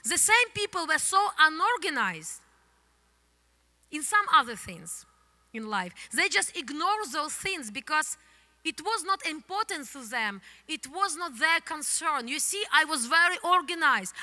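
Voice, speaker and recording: A woman pastor in her thirties from the Soviet Union, with a Ukrainian accent, preaching an animated sermon into a handheld microphone on a church stage. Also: sits high. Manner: animated, gesturing, non-native English phrasing. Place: church stage, indoors, large hall. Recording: long hall reverb from the church.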